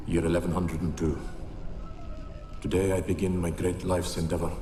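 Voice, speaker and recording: A grave, deep-voiced scholar, played by a man, dictates his research journal in a fantasy game. His delivery is measured and formal, ringing with strong echo over plainly audible noise. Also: close to an everyday voice. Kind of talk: video game character acting, recorded journal. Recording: echoey, noisy recorded-journal sound.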